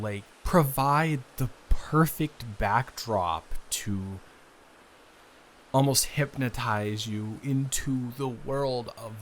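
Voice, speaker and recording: A man playing a friendly, bookish young guy, his best friend's brother, chatting casually and haltingly in an improvised roleplay audio. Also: mid-range voice. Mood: pleasantly surprised. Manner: halting, rambling, self-interrupting with repeated restarts.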